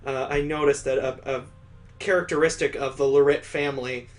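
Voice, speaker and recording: A man's voice: medium pitch, steady tone, normal speed. A man hosting a let's play, reading a visual novel aloud and adding sarcastic joking asides.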